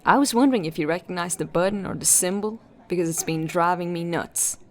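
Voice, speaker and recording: An actress playing an earnest, inquisitive detective who is puzzling over a case in a sci-fi audio drama. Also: female voice, fairly low pitch, brisk, close to an everyday voice. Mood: focused. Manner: earnest, questioning.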